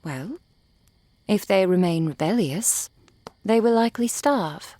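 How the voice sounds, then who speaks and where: A woman acting a composed, refined, cold-hearted merchant in an ASMR roleplay, with formal, precise, measured diction. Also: mid-range voice, expressive, mellow, no room sound. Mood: cool, matter-of-fact. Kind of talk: ASMR roleplay audio drama.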